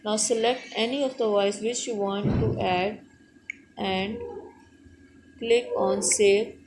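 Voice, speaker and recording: A woman's voice: medium pitch, steady tone, slow, background noise. A woman hosting a step-by-step app tutorial, speaking in an instructional manner with simple non-native English phrasing. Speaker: tutorial host.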